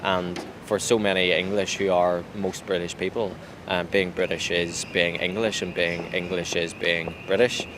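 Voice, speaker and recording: A man from Northern Ireland with a Northern Irish accent, speaking in a measured, hesitant way, with fillers and restarts, in an interview. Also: deep voice, little pitch movement. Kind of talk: reflective personal account. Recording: clear outdoor traffic noise.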